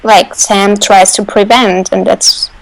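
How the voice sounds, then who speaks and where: Creaky-voiced woman chatting, fairly flat tone. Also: mid-range voice, slow pace, slight background noise, low-quality recording. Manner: hesitant, peppered with "like". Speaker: fan radio panelist. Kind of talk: casual fan discussion.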